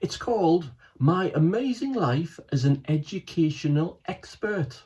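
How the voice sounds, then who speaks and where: Guy doing a prim lady teacher, high and precise. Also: lively intonation, unhurried, a little mellow, muffled recording. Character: prim female teacher, helpful.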